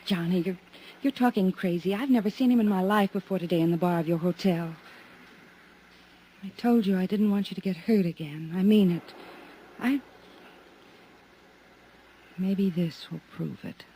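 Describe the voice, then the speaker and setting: An actress playing an anxious young woman in a 1950s radio drama, speaking soft and pleading. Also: lowish voice, moderate pace, long pauses, lightly acted, low-quality recording. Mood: earnest. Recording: old radio transcription with faint hiss.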